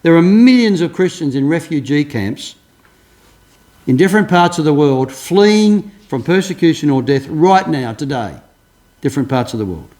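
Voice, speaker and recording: A man's voice: on the high side, lively intonation, normal speed, darkish tone. An older man preaching a sermon as pastor, telling stories earnestly with frequent restarts. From Australia. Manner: earnest storytelling, many restarts.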